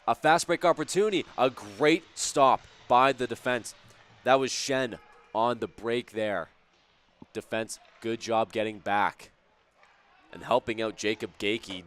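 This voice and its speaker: Slightly hoarse hockey play-by-play man. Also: fairly low pitch, unhurried, a bit bright. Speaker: play-by-play commentator. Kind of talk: live hockey play-by-play commentary.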